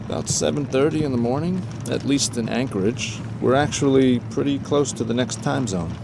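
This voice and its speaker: An actor playing a gruff, suspicious boat captain down on his luck in an audio drama. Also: medium pitch, moderate pace, background noise, close to an everyday voice. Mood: wry. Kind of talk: full-cast audio drama acting. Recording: music behind the voice.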